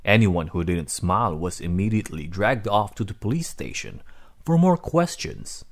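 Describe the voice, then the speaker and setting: A man reading a children's storybook aloud as narrator, in an expressive, dramatic way. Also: low-pitched, normal speed. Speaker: read-aloud host.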